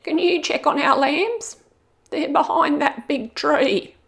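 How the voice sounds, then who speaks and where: A motherly storybook ewe, played by a woman, speaking high and slowly. She is polite, sweet and trusting, with a sing-song delivery. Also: vocal fry, a bit bright, full character voice. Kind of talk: children's storybook character voice.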